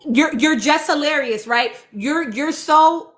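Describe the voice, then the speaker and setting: A woman with a high, bright and forceful voice hosts an informal live stream. She speaks with emphasis and conviction, repeating key phrases as she urges her listeners along. Her delivery is conversational and impassioned. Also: slow. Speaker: live-stream host reading and answering audience comments.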